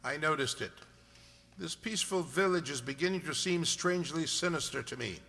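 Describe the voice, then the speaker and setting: A man playing a brisk, inquisitive gentleman detective in a radio mystery play, speaking precisely and formally. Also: a little high, gravelly. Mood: uneasy, determined. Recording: reverberant hall-like sound.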